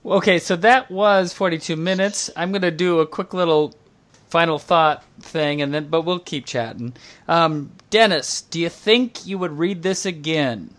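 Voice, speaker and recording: A man's voice: fairly high pitch, normal speed, a bit bright. A man hosting a podcast book club, putting questions to the members and reading a closing outro. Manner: guiding, asks members questions. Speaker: podcast host. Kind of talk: casual book club chat and scripted outro.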